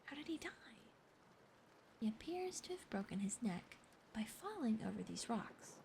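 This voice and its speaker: Woman whispering as a suave gentleman naturalist. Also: fairly high pitch, sing-song, moderate pace, gravelly, slight background noise. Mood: polite, composed. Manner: soft whisper. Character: suave male naturalist, smooth and composed.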